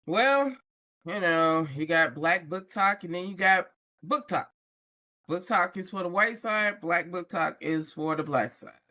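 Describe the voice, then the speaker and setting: A woman speaking as a podcast guest in an unhurried, candid way, dropping slang as she tells her story. Her voice is dry and close, with no room around it. The delivery is loose conversation, not a script. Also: on the low side, animated, sharp tone, no room sound. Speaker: podcast guest, book influencer. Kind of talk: casual conversation.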